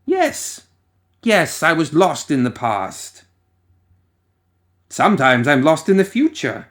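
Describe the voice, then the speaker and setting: A man's voice: a little high, fairly bright tone, exaggerated voice. A man playing an ancient, cursed necromancer villain in an audio story, theatrical and rambling, with coaxing menace. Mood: musing, distracted. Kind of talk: dramatic audio story monologue.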